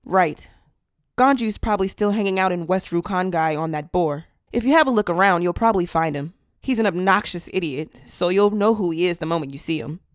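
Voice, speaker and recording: A woman's voice: fairly low pitch, a little fast, no echo, studio quality, natural voice. A woman playing a brash, proud, casually blunt woman in an anime fan drama, with a teasing manner.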